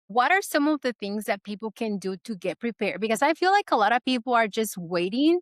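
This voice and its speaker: A woman's voice: mid-range voice, a bit bright, no room sound. A woman hosting a podcast who interviews her guest with enthusiasm, often affirming what she says. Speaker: podcast host.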